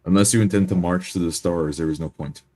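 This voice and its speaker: A man playing a stern, solemn leader in a tabletop roleplay, speaking in a measured, formal way. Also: deep voice, steady tone, warm tone. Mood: stern, calm. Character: stern, solemn male leader laying down rules.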